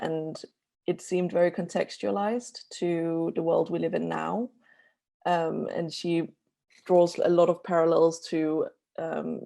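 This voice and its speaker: A woman's voice: low-pitched, creaky, warm tone. A young woman from Denmark, a native Danish speaker and student judge, speaking thoughtfully in a casual interview.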